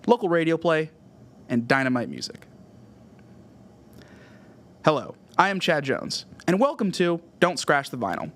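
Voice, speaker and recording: A man's voice: fairly high pitch, fairly bright tone. A man with a Californian American accent reads scripted narration as a music podcast host, with emphatic phrasing. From California, USA. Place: podcast studio.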